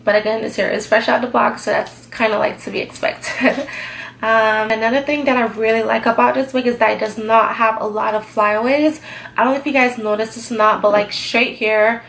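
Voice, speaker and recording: A woman's voice: on the high side, steady tone, a little fast, vocal fry, fairly bright tone, a little hiss or hum, poor audio. Stuffy-nosed young woman reviewing a wig. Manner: chatty, filler-heavy, lots of "like". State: stuffed-up nose from a cold. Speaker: hair vlogger. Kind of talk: casual product review.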